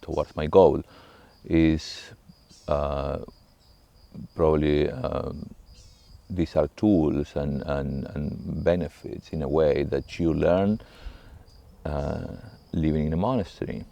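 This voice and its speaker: A middle-aged monk with an Argentine Spanish accent speaks in an extremely deep voice with a gravelly rasp. He talks reflectively and haltingly, often stopping to find the next word. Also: steady tone, warm tone, no echo. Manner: halting and thoughtful, pausing often to search for words. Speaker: monk, abbot of a monastery. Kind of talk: reflective spoken talk to camera.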